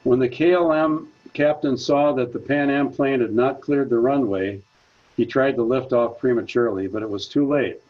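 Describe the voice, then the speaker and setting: A man delivers a prepared talk at a senior center, moving steadily through his notes. His voice is husky and sits at an ordinary pitch. The recording sounds dull and lo-fi. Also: steady tone. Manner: measured, reads from notes. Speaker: presenter.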